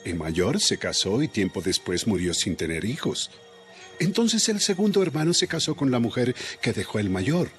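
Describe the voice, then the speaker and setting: A man playing an expressive skeptic. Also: medium pitch, normal speed, natural voice. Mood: posing riddle. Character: skeptic telling a tricky puzzle story. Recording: voice over light background music.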